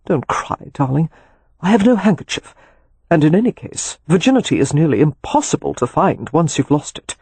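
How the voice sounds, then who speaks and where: A narrator voicing a rakish, affectionate gentleman in an audiobook, with a nonchalant, teasing, dry manner. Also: mid-range voice, sing-song, moderate pace, slightly rough, no room sound. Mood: dry, consoling. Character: Leo, wry, rakish, affectionate gentleman brother. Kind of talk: audiobook character dialogue.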